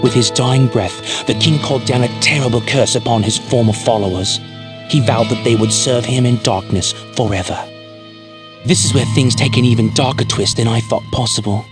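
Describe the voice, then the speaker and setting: Man as a grave fantasy townsman, lowish voice. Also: close to an everyday voice. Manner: solemn, formal storytelling. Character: grave male townsman recounting his cursed king's downfall. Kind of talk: video game character acting.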